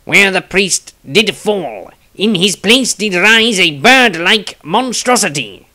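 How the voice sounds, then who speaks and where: A man's voice: high-pitched, expressive, takes it slowly, pushed and bright, pitched above the performer's own voice, full character voice. A man voicing an earnest, suspicious male adventurer who recounts grim events in a fantasy diary, dramatically. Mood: grim, urgent. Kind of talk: fantasy storybook diary reading.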